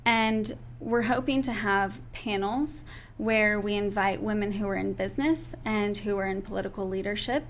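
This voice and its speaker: A woman's voice: medium pitch, slight background noise. Earnest young woman making her case. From Turkey. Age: young adult. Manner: earnest, lays out points in order. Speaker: interview guest. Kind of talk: earnest political interview.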